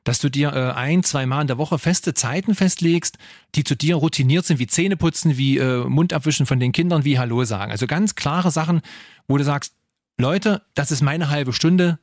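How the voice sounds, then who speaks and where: A man's voice: a little high, moderate pace, no room sound, clean studio sound. A man in his forties speaking as a fitness trainer, explaining things informally with examples and frequent tag questions. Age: 40s. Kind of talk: casual explanatory coaching talk.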